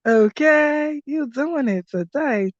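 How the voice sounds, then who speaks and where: A woman with a hoarse, fairly high voice hosts a live stream, hyping things up with laughter and swearing. The sound is dull and lo-fi, and the room is dry. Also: expressive, takes it slowly, no room sound. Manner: loud, laughing, profane hype commentary. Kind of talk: casual live-stream chat.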